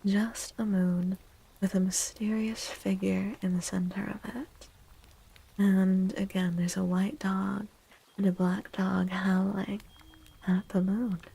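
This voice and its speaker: A woman streamer giving a soft-spoken ASMR tarot reading, gentle and unhurried, pausing between phrases and often slipping into a breathy whisper. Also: mid-range voice, flat delivery, low-quality recording.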